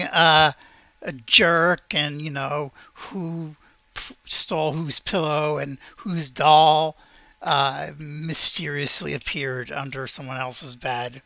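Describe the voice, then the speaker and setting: A woman narrates a tabletop game as its game master. She thinks aloud in a slow, hesitant way, with a bright edge to her voice and a dry, close sound. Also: sits low, vocal fry, no echo, lo-fi sound.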